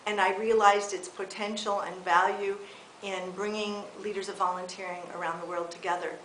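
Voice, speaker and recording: Measured American woman, echoey room. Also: fairly low pitch, a bit bright. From California. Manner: measured, earnest, slightly halting delivery. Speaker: election candidate. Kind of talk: prepared video statement. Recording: echoey room with faint hiss.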